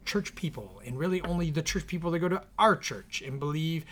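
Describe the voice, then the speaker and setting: A man hosting his podcast in casual conversation, his voice a little scratchy, talking in an earnest, reflective way that often trails off softly. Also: on the high side, expressive, brisk. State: slightly scratchy voice. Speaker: podcast host and author. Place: in the same room as co-host.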